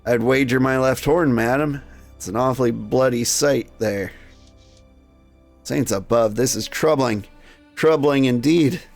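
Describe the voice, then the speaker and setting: Man plays male minotaur gentleman for a Victorian game: brooding, formal, wordy, gravelly. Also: medium pitch, measured pace, faint music behind, close to an everyday voice. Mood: grave, troubled. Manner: formal, wordy old-fashioned speech.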